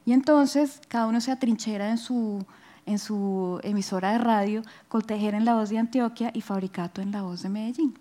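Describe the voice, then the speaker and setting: Mellow-voiced woman lecturing. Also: mid-range voice, normal speed. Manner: explanatory, often checking with a tag question. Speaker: lecturer, music researcher. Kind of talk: informal public lecture. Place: auditorium stage at a book fair. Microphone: headset.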